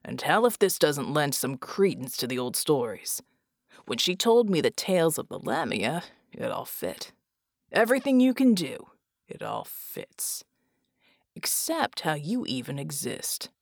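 A woman voicing a world-weary male mercenary who talks bluntly in a low, gravelly drawl. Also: big pitch swings, a little slow, no room sound, clean studio sound, heavily acted. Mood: gruff.

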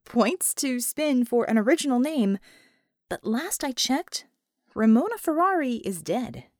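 A woman's voice: high voice, very animated, a little slow, no room sound, pitched above the performer's own voice, full character voice. A woman voicing a practical, kindly but skeptical female comrade in an audiobook, with dry humor.

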